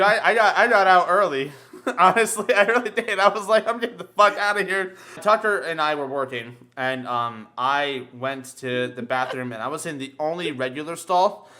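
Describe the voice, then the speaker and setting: A man in casual podcast banter, telling crude stories with a lot of swearing and an animated delivery. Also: medium pitch. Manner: crude, foul-mouthed, animated storyteller.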